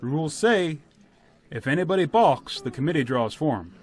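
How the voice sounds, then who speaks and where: A man plays a country village official running a solemn gathering in a radio drama. His voice sits a little high and moves up and down freely. He is brisk, orderly and insistent, with folksy phrasing. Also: animated, a little mellow, breathy edge. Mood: firm, folksy. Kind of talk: radio play acting.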